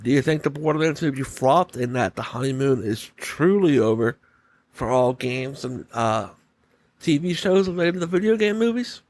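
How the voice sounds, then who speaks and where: Guy doing a solo podcast, mid pitched voice, casual opinions, kinda stop-start phrasing. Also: animated, moderate pace, a little mellow, no echo. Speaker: podcast host.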